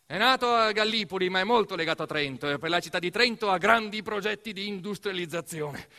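A man narrating a scripted theatrical monologue, telling the story in an emphatic, expressive way. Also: sits high, fast talker. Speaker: actor-narrator of a solo theatre piece.

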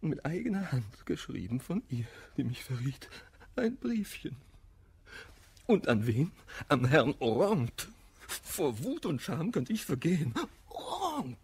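Jilted, melodramatic gentleman, wildly swinging pitch. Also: huge pitch swings, a little slow, husky, heavily acted. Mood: furious, indignant. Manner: theatrical, anguished verse declamation. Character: jilted, hot-tempered gentleman lover, wounded and melodramatic. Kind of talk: German radio play of classical verse comedy.

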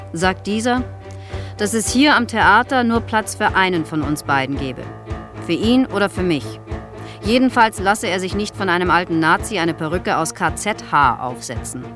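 Bitter old theatre make-up man. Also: high voice. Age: older. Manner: colloquial, aggrieved, boastful. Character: bitter, self-pitying old male theatre make-up artist. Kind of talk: radio drama monologue.

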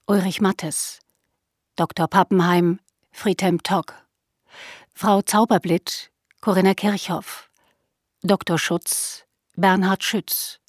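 A woman's voice: on the low side, darkish tone, no echo, clean studio sound. A woman reading radio play credits in a formal, neutral announcer style. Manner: clear, neutral listing of names.

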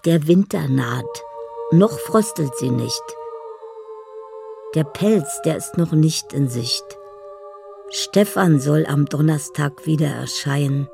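An actress playing a dreamy, lovelorn woman in a radio play, speaking poetic love letters in a deliberate, solemn way. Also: sits low, gravelly, over music, full character voice. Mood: musing. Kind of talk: radio play inner monologue.

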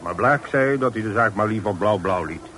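A man's voice: fairly low pitch, lively intonation, moderate pace, lightly acted. An actor in a Dutch radio play, playing an earnest, deferential townsman who recounts things formally and politely. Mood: earnest, concerned. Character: earnest, deferential townsman reporting troubling news to a gentleman. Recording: noisy old radio play recording.

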